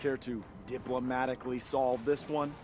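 A man playing an impulsive young warrior in a game cutscene, wry and excitable. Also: mid-range voice, normal speed, lightly acted. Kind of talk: video game cutscene acting. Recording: loud music behind.

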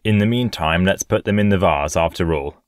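Man acting a low-voiced, witty young man-about-town. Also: normal speed, smooth, dry recording, natural voice. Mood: breezy, bossy. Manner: dry, ironic, bossily playful. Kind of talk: audio stage play acting.

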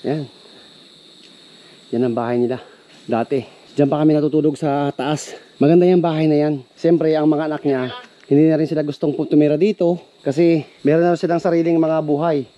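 Male vlogger, casual tour talk, raised pitch, soft mellow tone, action-cam outdoors. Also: steady tone, brisk, lo-fi sound. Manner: chatty, guiding viewers, hushed when uneasy. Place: overgrown rural yard outdoors.

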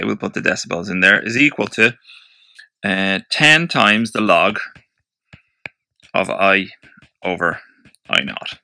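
A man's voice: lowish voice, vocal fry, sharp tone, no echo. A man giving an informal tutorial, explaining step by step as he thinks aloud. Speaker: physics tutor. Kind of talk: informal explanatory lesson, working through a problem.

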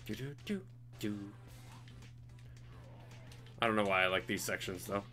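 A man's voice: fairly low pitch, slow, sharp tone, soft background music. A man in his twenties giving casual, laughing commentary while playing a game at home. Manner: laughing, chatty, self-deprecating. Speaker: let's play host. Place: home room, indoors.

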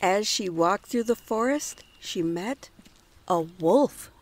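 Slow-paced woman narrating a fairy tale. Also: mid-range voice, animated. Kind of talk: scripted storybook narration.

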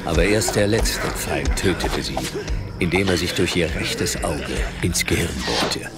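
A male narrator tells a scripted horror tale in a deep voice. The delivery is dramatic storytelling, set against prominent, loud music. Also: animated, measured pace.

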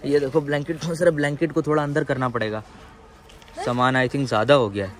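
A man's voice: medium pitch, fast talker, soft background music. A young man in his twenties with a sore throat, chatting through a casual travel vlog to a handheld camera, first in a yard and then in a car. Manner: excited, calls out loudly when flustered. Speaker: vlogger. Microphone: handheld camera at arm's length. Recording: noisy street and car background.

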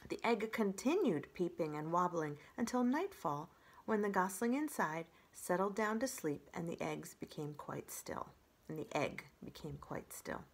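A woman in her forties reading a children's story aloud in an expressive narrating style. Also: lowish voice, husky. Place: indoors by a brick fireplace.

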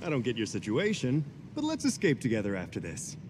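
An actor playing an easygoing, heroic young adventurer in a video game, breezy, informal and expressive. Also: male voice, medium pitch, brisk, background noise. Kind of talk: video game cutscene acting.

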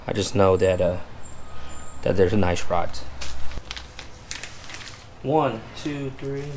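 A man in his forties with a fairly low voice hosts a fishing channel from his open garage. He talks casually and haltingly, full of restarts and fillers, and sounds earnest. A faint hum of room ambience sits under the voice. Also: animated, darkish tone. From Texas. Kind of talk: casual unboxing vlog. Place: open garage, indoors by the door. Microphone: camera in front at close range.

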